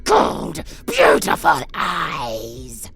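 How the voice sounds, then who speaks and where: A savage vampire abomination, hungry for prey and full of profane taunts. The delivery is very drawn out and gravelly, lurching wildly between hissed whispers and roars. It is horror roleplay at full fury. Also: medium pitch, huge pitch swings, drawn-out words, over loud music, full character voice. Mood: hungry, furious. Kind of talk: horror audio roleplay acting.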